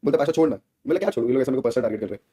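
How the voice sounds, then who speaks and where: A man's voice: medium pitch, very fast, warm tone. A man speaking as a gaming streamer in a casual, slangy rant to viewers, often seeking their agreement. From India.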